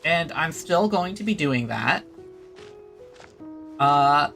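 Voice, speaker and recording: A livestreamer chats casually over a game with a bright, lively voice. The delivery is slow and halting, often trailing off. Soft music plays behind. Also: medium pitch, gravelly. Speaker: streamer, host.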